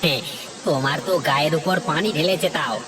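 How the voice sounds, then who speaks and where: A man voicing a chatty, idle village friend in an animated fairy tale, folksy and exclamatory. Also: a little high, a little fast, noisy background, close to an everyday voice.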